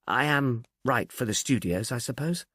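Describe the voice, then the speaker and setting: A man's voice: mid-range voice, animated, normal speed, fairly bright tone, no room sound, studio quality. A man voicing an amiable, slightly obtuse police detective in an audiobook, chatty and musing, earnestly puzzled. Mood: genial, musing. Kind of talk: audiobook character dialogue.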